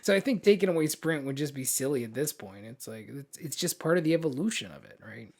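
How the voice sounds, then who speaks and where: A man's voice: mid-range voice, expressive, fast talker, vocal fry, darkish tone. A man co-hosting a podcast, chatting casually about games and films in an informal, slangy way with some mild swearing. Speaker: podcast co-host.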